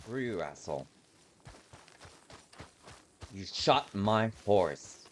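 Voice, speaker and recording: A man's voice: on the low side, lively intonation, drawn-out words. A man giving casual, blunt, sweary commentary while playing a video game. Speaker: gamer narrating a playthrough. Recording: noisy track with game gunfire behind. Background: video game gunfire and animal sounds.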